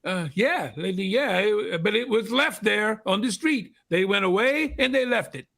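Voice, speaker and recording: A man's voice: high-pitched, lively intonation, moderate pace, vocal fry, a bit bright, exaggerated voice. A man playing an evasive, nervous shopkeeper in a vintage radio drama, curt and stammering with streetwise speech. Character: evasive, nervous, defensive male secondhand clothes dealer.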